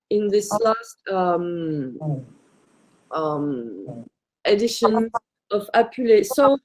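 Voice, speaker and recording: A woman's voice: fairly high pitch, darkish tone, low-quality recording. A French woman with a French accent, speaking as a publisher in a webinar, hesitantly searching for her English words. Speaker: book publisher. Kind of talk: informal webinar talk about publishing.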